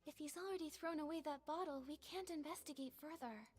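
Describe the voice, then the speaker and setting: A woman's voice: high voice, a little fast, close to an everyday voice. A woman voicing an earnest young female detective companion in a video game, reasoning things through.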